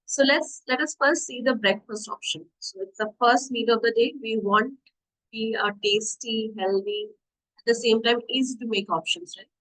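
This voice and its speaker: A woman's voice: a little high, normal speed, fairly bright tone, rough recording. A young woman with an Indian accent, giving a nutrition webinar over a video call in a chatty, encouraging way and asking her audience questions. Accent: Indian English. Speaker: diabetes nutrition coach presenting a webinar. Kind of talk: online webinar talk, mixing English and Hindi. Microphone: computer mic on a video call.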